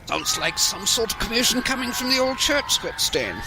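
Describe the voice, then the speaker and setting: A man voicing a pompous, condescending police inspector with a creaky voice in a comic audio drama. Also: very high voice, expressive, normal speed, heavily acted, rough recording.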